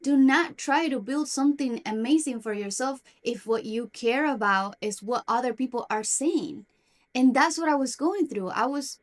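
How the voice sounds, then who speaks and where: Young woman from argentina, spanish is her first language, slightly high voice, earnest heart-to-heart vlog talk. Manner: earnest, confiding, with a few restarts. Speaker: self-development vlogger. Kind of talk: personal talk to camera.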